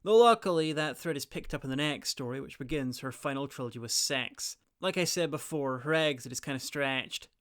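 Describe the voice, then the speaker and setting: A man's voice: medium pitch, brisk, few pauses, fairly bright tone, clear, resonant tone. A man narrating a scripted review in an opinionated, wry manner. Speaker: reviewer presenting an audio drama guide.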